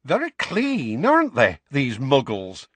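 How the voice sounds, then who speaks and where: A man voicing a bright, curious young witch in a storybook, blunt, informal and chatty. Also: medium pitch, wildly swooping pitch, slow pace, no echo, voice pushed up, exaggerated voice. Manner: chatty, conversational.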